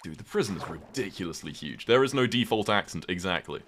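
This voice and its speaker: A young man with a British accent and a notably deep voice talks loosely to his live chat as he plays. He drifts between topics, filling pauses with "um" and "like". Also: animated, fairly bright tone. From Britain. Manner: rambling, chatty, lots of "um" and "like". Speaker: streamer. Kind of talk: casual live-stream chat while gaming.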